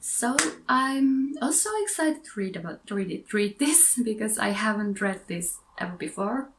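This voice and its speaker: A woman's voice: medium pitch, lively intonation, normal speed. A woman with a Finnish accent chatting casually about her books, correcting her own words as she goes. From Finland. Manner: chatty, self-correcting, giggly asides. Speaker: book vlogger. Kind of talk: casual book haul chat.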